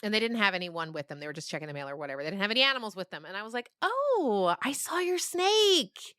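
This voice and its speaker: A woman's voice: fairly low pitch, animated, very fast, bright, forceful tone, clear, resonant tone, no echo, studio quality. A woman chatting and telling anecdotes in a dramatic, emphatic conversational style. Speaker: podcast guest, children's writer. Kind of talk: animated conversational storytelling.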